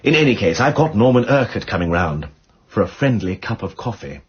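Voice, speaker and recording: Breezy aristocratic English detective, lively and husky. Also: male voice, fairly low pitch, fairly quick, exaggerated voice. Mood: suave. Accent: upper-class English. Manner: flippant, courteous, old-fashioned aristocratic turns of phrase. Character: witty, breezy, lovestruck upper-class English lord detective. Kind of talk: radio drama acting. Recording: dull, muffled radio recording.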